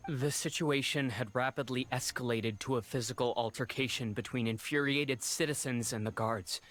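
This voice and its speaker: A man's voice: mid-range voice, steady tone, moderate pace, a bit bright, close to an everyday voice. A man voicing a dutiful guard giving formal, matter-of-fact reports in a video game. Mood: grave, formal.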